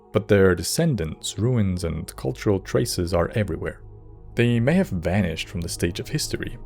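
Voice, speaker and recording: Male video essay narrator, low pitch, measured and wry scripted delivery, music underneath. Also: gravelly, dark tone. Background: background music.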